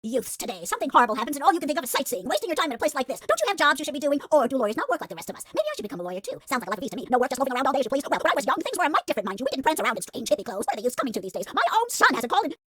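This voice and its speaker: Brash, nagging older female security guard, swooping pitch. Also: big pitch swings, racing, hardly any pauses, fairly bright tone. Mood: scolding, indignant. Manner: scolding, gossipy, old-fashioned folksy talk. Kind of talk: voiced dialogue for a video game fan dub. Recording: music behind the voice. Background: music.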